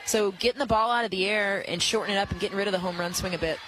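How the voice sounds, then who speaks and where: A woman giving emphatic, coach-like analysis as a color commentator on a live softball broadcast. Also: medium pitch, racing, a little hiss or hum, rough recording. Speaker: color commentator, former pitcher. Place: outdoor ballpark during a live game. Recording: loud outdoor stadium ambience. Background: loud stadium ambience.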